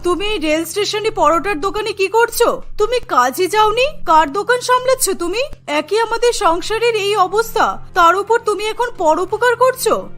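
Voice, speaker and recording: A woman's voice: very high-pitched, lively intonation, fairly bright tone, soft background music. A woman voicing a stern, indignant older mother-in-law in a cartoon story, firing scolding questions. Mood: shocked, scolding. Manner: scolding, rapid-fire questioning.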